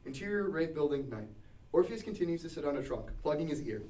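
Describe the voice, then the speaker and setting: A man's voice: fairly high pitch, big pitch swings, fast, warm tone. A man reading scripted stage directions as narrator at a table read. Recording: echoey room, distant mic.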